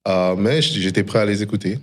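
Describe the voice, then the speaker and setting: A middle-aged man with a low-pitched voice speaks as a guest in a conversation. He recounts things reflectively, in a storytelling way, and drops English phrases into his speech. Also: fairly even intonation, a little fast, a little hiss or hum. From DR Congo and Canada. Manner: mixes English phrases into French. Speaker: interview guest, tech founder.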